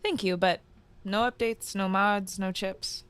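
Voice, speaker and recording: A woman acting in an audio drama as a calm, reassuring cyberpunk fugitive with a soft, hesitant manner. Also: medium pitch, unhurried, clean tone, lightly acted.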